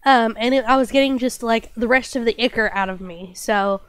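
High-pitched young woman streamer, congested and coughing, chirpy and full of fillers. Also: normal speed, clean tone, no room sound. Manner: bubbly, apologetic, lots of fillers. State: stuffy nose and lingering cough. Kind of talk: casual chat with stream chat.

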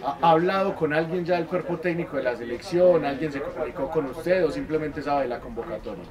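A man's voice: on the high side, a little fast, a crowd in the background. A Colombian man working as an interviewer, asking questions in a conversational way. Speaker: club media interviewer. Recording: faint background ambient noise.

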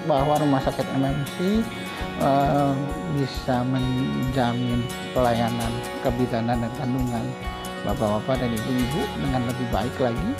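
A middle-aged man, a hospital director, explaining his clinic's services in a measured way, pausing often with fillers. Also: mid-range voice, steady tone. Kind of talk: explanatory interview answers. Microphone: lapel mic. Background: background music.

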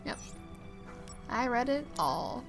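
A woman's voice: fairly high pitch, very animated, slow pace, over music. A woman hosting a let's play, commenting casually on a game and reading on-screen text aloud.

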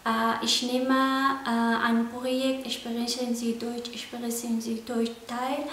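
A young woman speaks slowly and evenly, hesitating over her words. Her voice is a little high. The room echoes, with a faint hiss. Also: level tone. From Afghanistan. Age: 20s. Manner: hesitant learner speech.

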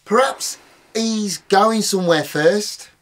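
A man playing a wounded, sulky actor in the role of a grown son in a radio soap, measured and hurt. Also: sits high, a bit bright. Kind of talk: radio drama acting in screen comedy. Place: small radio recording studio.

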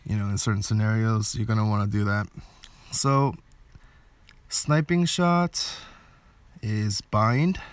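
A man with a fairly low voice talks through gameplay in a casual way. He thinks aloud with some hesitation, recorded close and dry with little room sound. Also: fairly even intonation, slow, pauses often, no room sound. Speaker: game video creator.

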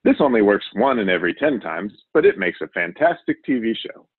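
Male reader as a showy, reckless TV adventurer for a children's storybook; husky, low, cheerfully overconfident. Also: sing-song, fairly quick, no room sound. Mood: confident, instructive. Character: wild, boastful male TV survival show host. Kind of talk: children's storybook character reading. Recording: narrow, phone-like audio.

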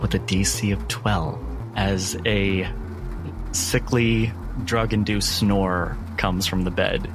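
A man's voice: low-pitched, steady tone, slow, background noise. A man narrating a tabletop roleplaying game as the game master. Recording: music bed under the voice. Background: background music.

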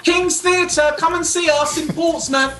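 A man's voice: extremely high pitch, fairly even intonation, measured pace, sharp tone, faint music behind. An English man speaks over a video call from a roomy-sounding space. He is an enthusiastic guest with a theatrical way of talking, plugging his stage work in an upbeat interview. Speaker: pantomime dame actor, interview guest. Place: small home room.

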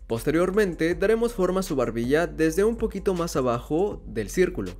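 Male drawing tutor, fairly high voice with lively, rising intonation over faint music. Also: normal speed. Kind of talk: step-by-step drawing tutorial narration. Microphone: voice-over over hands-on drawing footage.

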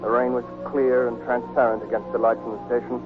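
Actor as wistful soldier, tinny old radio. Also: male voice, medium pitch, level tone, fairly quick, lightly acted. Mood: wistful narration. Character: soldier in love, tender and wistful narrator. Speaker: actor and narrator in a radio drama. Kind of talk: 1940s radio acting. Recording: tinny 1940s radio broadcast with music.

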